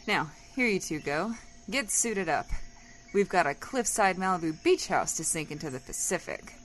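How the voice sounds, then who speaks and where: A woman acts out a zealous female explosives soldier in a comedy audio drama. She is commanding and exasperated, with lively swings in pitch. There is faint noise behind her. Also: normal speed, lightly acted. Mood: gung-ho. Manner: commanding, impatient.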